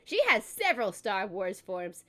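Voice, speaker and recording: A woman hosting a live stream in casual chat, congested and recovering her voice, chatty and quick to laugh. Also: medium pitch, very animated, a bit bright. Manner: chatty, laughs often, self-deprecating. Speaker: streamer host.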